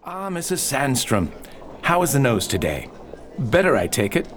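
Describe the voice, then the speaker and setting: A man's voice: mid-range voice, sing-song, normal speed, over music, close to an everyday voice. A man playing an older father and town druggist in an audio drama, with noise in the recording. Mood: genial, courteous. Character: ailing father and druggist, polite, anxious old patient.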